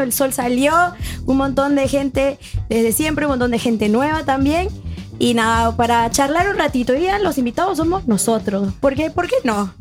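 A high-voiced woman chats playfully as a radio co-host. She jokes about her own voice and talks easily. Faint music sits behind her. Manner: playful, chatty. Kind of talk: casual radio chat.

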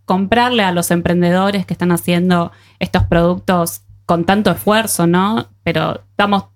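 A woman's voice: fairly low pitch, fairly even intonation, normal speed. A woman with an Argentine accent hosting a show in a casual, colloquial and encouraging conversational way. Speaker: radio show host.

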